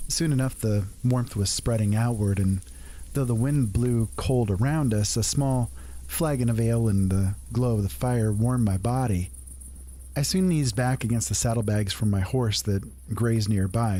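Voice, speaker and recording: A man telling a first-person fantasy story in a calm, unhurried, conversational way. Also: medium pitch.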